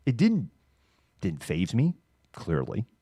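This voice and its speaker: Very deep-voiced middle-aged American man. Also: wildly swooping pitch, warm tone, breathy edge, no echo. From Massachusetts, United States. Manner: candid, informal, halting. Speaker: livestream news host. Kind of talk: casual monologue of personal reminiscence.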